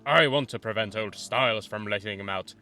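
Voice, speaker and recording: Man playing a jittery young student, stammering, evasive, bright voice. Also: fairly low pitch, soft background music. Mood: nervous. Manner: stammering, hurried.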